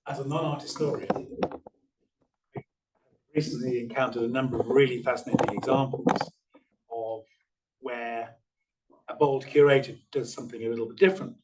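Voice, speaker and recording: A man's voice: medium pitch, darkish tone, recorded from a distance, low-quality recording. A man speaking on an art panel, hesitant with frequent restarts and fillers. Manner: hesitant, many restarts and ums. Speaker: panel speaker from the artist's studio. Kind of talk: discussion panel remarks.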